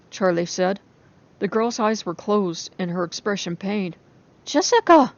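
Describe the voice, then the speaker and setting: A woman's voice: mid-range voice, lo-fi sound. A woman giving a scripted narration of a story as a dramatic reading.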